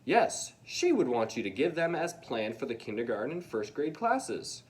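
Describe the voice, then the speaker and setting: A man reads as a kindly male principal in a children's storybook. He explains things in a measured, reassuring way, with a very lively rise and fall at a middle pitch. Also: close to an everyday voice. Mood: encouraging. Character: calm, kindly male school principal with a mustache.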